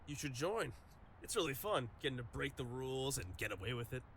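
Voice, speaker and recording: A man's voice: mid-range voice, animated, fairly quick, a bit bright, a little hiss or hum. A man playing a cheeky young villain's henchman in an audio drama, with a casual, playful delivery.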